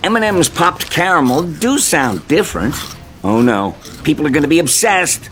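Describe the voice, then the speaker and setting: A fretful cartoon candy mascot. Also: male voice, on the high side, sing-song, normal speed, fairly bright tone, a little hiss or hum. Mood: worried. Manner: worried, jumpy. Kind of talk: animated TV ad.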